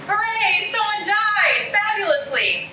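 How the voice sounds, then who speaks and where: A woman's voice: very high-pitched, expressive, slow, heavily acted. A woman at a comedy table read playing a blunt, foul-mouthed, sarcastic podcast co-host. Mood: mock cheer. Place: indoor room at a table read. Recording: echoey room, distant mic, background noise.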